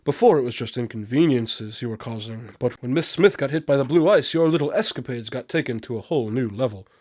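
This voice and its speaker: A man playing a shrewd, unflappable detective in a radio drama, measured and knowing as he confronts a suspect. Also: mid-range voice, a little mellow, no echo, studio quality, lightly acted. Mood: accusing, confident. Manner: measured, knowing, coolly accusatory. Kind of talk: amateur radio drama acting.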